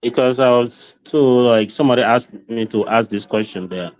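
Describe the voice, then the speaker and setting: A man's voice: medium pitch, normal speed, a little mellow, no echo. A man calling in to a show, politely and somewhat hesitantly asking a question. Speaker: caller. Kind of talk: polite question over a call. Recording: dull lo-fi call audio, dry.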